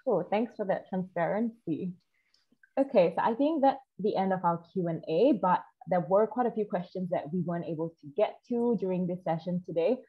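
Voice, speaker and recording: A woman's voice: fairly low pitch, normal speed, husky. A young woman casually hosting a webinar and putting questions to a guest over a video call. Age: young adult. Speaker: webinar host. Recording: dull, muffled video call audio.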